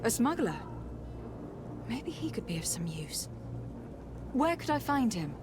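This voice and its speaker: A woman's voice: fairly high pitch, big pitch swings, a little slow, music in the background. A woman playing a poised young noblewoman envoy in a video game, formal, composed and direct. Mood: composed, persuasive.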